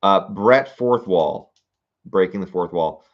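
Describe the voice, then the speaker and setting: A young man with a low-pitched voice chats casually on a livestream, picking through viewer suggestions. He muses aloud with plenty of ums and restarts. The sound is a little muffled and lo-fi. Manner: musing aloud, reading comments, halting with fillers. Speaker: streamer reading viewer suggestions.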